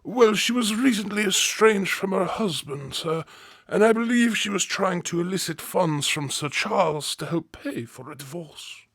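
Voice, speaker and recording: A deferential servant in a radio play. His voice is high for a man and sounds very dry. He explains things properly, with a touch of hesitation. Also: expressive, normal speed, a bit bright, no echo, heavily acted. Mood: deferential, helpful. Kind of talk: radio drama acting.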